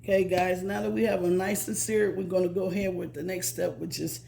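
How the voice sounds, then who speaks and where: A slightly hoarse woman chats through a home cooking vlog, repeating herself and calling her viewers "guys". Her voice has a gravelly, creaky edge. Faint noise and pan sizzle sit behind her in the kitchen. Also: on the low side, steady tone, fairly quick, mellow. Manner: chatty, says "guys" constantly, repeats herself. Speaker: cooking channel host. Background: food sizzling in a pan.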